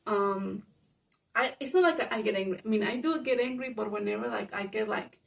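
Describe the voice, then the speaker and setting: A woman with a Spanish-speaking accent, a native Spanish speaker, telling a personal story in a rambling monologue full of fillers. She describes her own voice as loud. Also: mid-range voice, fairly quick, gravelly, recorded from a distance. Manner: rambling, lots of fillers, grows loud when reenacting arguments. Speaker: vlogger.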